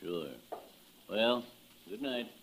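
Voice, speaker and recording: An actor playing a plain, gruff male proprietor, suspicious and protective, in 1950s radio suspense style. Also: on the low side, extremely slow, a bit bright, a little hiss or hum, exaggerated voice. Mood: sheepish.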